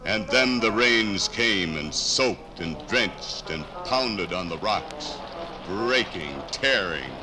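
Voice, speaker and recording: An American man playing ancient iron itself in a grave, declamatory 1950s radio drama monologue with dramatic pauses. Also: on the low side, expressive, rough recording. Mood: grand, solemn. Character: iron itself, ancient, solemn, patient elemental narrator. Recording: 1950s radio transcription under loud music.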